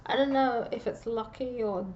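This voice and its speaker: A woman giving a casual, meandering psychic reading, pausing and restarting often, on a dull lo-fi recording. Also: on the high side, steady tone, darkish tone, slight background noise. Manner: meandering, with frequent restarts and pauses. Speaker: psychic reader.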